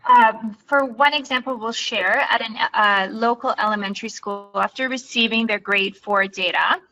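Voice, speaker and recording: A woman with a soft voice who works with a school district, giving steady, detailed answers in an interview. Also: a little high, moderate pace, a little hiss or hum, rough recording. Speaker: school district staff guest.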